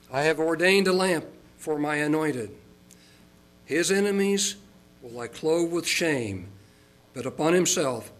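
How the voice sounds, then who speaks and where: Folksy older Oklahoma pastor. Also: male voice, rough recording. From Oklahoma, United States. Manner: folksy and chatty, with chuckles and fillers; slows and rises in pitch for emphasis. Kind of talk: sermon.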